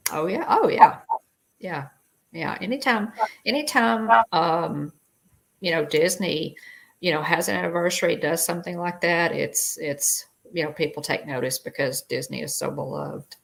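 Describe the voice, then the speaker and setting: A woman's voice: low voice, normal speed, gravelly, rough recording. A woman co-hosting a live stream, chatting casually and rambling as she greets viewers by name. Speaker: livestream co-host.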